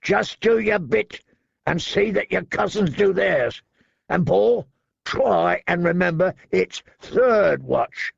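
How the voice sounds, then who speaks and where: A man's voice: high-pitched, animated, slow pace, gravelly, husky, no echo, muffled recording, voice pushed up, full character voice. A man voicing a bitter, resentful kennel hand in an audiobook, snarling and sour. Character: bitter, boil-faced male kennel hand.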